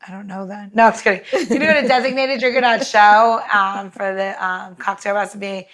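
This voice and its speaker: A woman's voice: medium pitch, fairly quick, a bit bright. A woman podcast co-host casually walking listeners through making a cocktail, with a chatty, loose delivery full of fillers.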